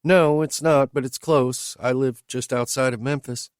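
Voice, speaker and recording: A man's voice: medium pitch, steady tone, measured pace, smooth, no echo, studio quality, pitched above the performer's own voice, natural voice. A man voicing a blunt, direct young woman in her late twenties, speaking in a raised voice for her. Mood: questioning. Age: late 20s. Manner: blunt, straight to the point.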